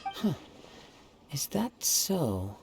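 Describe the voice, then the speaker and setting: Calm, polite young samurai. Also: male voice, a little high, very slow, gravelly, heavily acted. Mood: courteous. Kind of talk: video game voice acting. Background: music.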